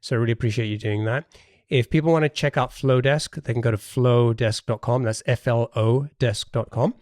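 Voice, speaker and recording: A man's voice: medium pitch, steady tone, brisk, a little mellow, no echo, studio quality. A man hosting a casual interview podcast, thinking aloud with hesitations and repeated words.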